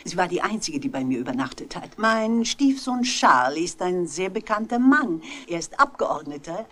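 A woman's voice: on the low side, big pitch swings, normal speed, fairly bright tone. An older actress playing an amiable old widow in a 1960s German radio mystery, amused and theatrical as she dismisses things. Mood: amused, dismissive. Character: amiable old widow defending her family to a detective.

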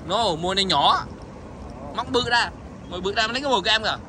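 A man fishing on a boat outdoors, chatting excitedly with loud exclamations and blunt swearing. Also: sits high, very fast, noisy background. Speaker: fishing vlogger.

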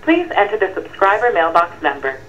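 A woman's voice: lowish voice, big pitch swings, brisk, a bit bright, slight background noise. A woman reading scripted voicemail menu prompts, heard through a telephone. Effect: played through a telephone. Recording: dull lo-fi telephone playback.

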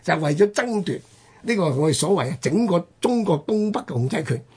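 Very husky Hong Kong man, animated storyteller. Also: high voice. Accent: Hong Kong Cantonese. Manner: emphatic storyteller with drawn-out phrase-ending particles. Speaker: radio host. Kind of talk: conversational history storytelling on radio.

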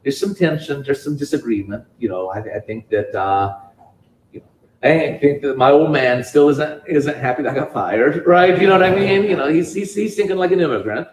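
A man's voice: a little high, moderate pace, a little hiss or hum. Guy giving a talk in a bookstore, keeps restarting, lots of "you know", echoey room. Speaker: guest speaker. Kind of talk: public talk and Q&A. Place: bookstore event space with audience.

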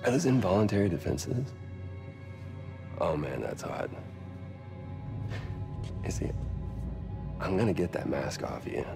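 Gravelly, smug executive villain. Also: male voice, sits low, big pitch swings, unhurried, long pauses, exaggerated voice. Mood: gloating excitement. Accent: American. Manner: glib, casual, drawling condescension. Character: smug, flippant male tech executive villain, menacingly chummy. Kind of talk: video game cutscene acting. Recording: music behind the voice.

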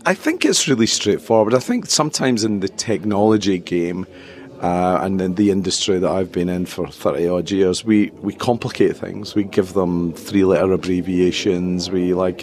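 A middle-aged man with a Scottish accent, explaining things conversationally as a guest in a casual podcast interview. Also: low-pitched. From Scotland. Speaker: interview guest, tech company chief executive.

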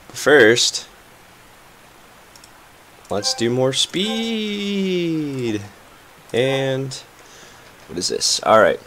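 A man's voice: medium pitch, slight background noise. A young man hosting a casual let's play, chatty and playful, a little stuffed up. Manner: chatty, playful, easily excited. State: slightly stuffed up. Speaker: gaming channel host. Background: game music.

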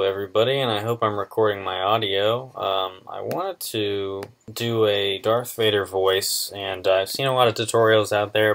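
A man in his twenties presenting a casual software tutorial in an informal, halting, unscripted way. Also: fairly low pitch. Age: 20s.

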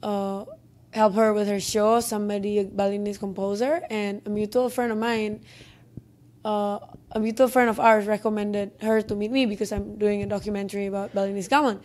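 A woman's voice: mid-range voice, flat delivery, fairly quick, darkish tone. A young woman with an Indonesian accent, a film director chatting in an interview with many fillers and restarts, on a handheld mic in an office meeting room. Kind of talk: interview answers. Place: office conference room, indoors.

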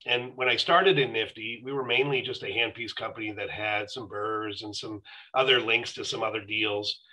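A man's voice: on the low side, fairly even intonation, normal speed, sharp tone, poor audio. A middle-aged man on a video call, explaining his company as a guest on a live stream. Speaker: dental company executive, guest. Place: room at home or office, indoors.

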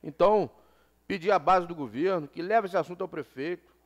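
A man with a notably high voice speaks at a council chamber desk microphone. He is a city councilman in his forties from Brazil. He delivers an impassioned floor speech and presses his points by repeating them. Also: fairly quick, dull audio. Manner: impassioned, repeats phrases for emphasis. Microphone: desk gooseneck microphone.

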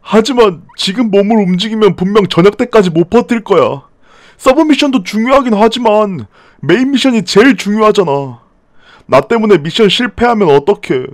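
A man's voice: high-pitched, expressive, normal speed, no echo, clean studio sound. A man voicing a timid, anxious young man who talks hesitantly and pleads.

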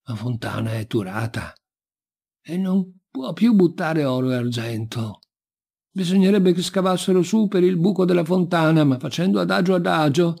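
A man voicing a grumpy, knowing ogre in a folk tale, explaining things slowly and grumbling. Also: medium pitch, creaky, a little mellow, breathy edge. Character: gruff, grumpy, knowing male ogre, a sleepy husband.

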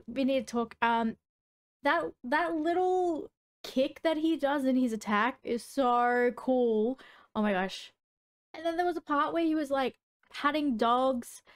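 Young woman reaction host, kinda high voice, gushy and enthusiastic, just chatting casually. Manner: gushing, enthusiastic. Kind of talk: casual fan reaction chat.

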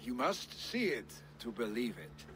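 A dignified, plain-spoken son of a Viking chieftain, speaking slowly and sincerely. The game dialogue sits over clearly audible ambient noise. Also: male voice, mid-range voice, sing-song, fairly bright tone, poor audio. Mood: sincere, subdued. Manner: deliberate, sincere. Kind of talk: video game dramatic acting.

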